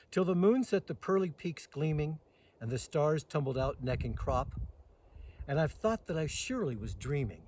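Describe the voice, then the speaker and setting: A man reading poetry aloud outdoors as host, with dramatic phrasing and lines that trail off softer. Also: medium pitch, husky. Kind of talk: poetry recitation with casual introduction. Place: outdoors in the mountains.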